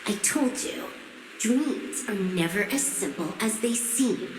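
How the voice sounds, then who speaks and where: A cool, cautious woman strategist from an anime game. She talks slowly in a dry, matter-of-fact way at a medium pitch. The voice echoes, with music playing behind it. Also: lively intonation, background noise. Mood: calm, dry.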